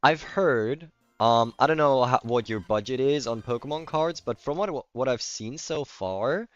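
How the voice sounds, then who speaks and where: A man's voice: medium pitch, unhurried, dry recording, soft background music. The guy you would hear on a late gaming livestream, a native German speaker with a German accent answering chat in a clean voice, through a close, slightly muffled mic. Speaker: livestreamer playing a video game. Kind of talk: casual chat, replying to stream comments.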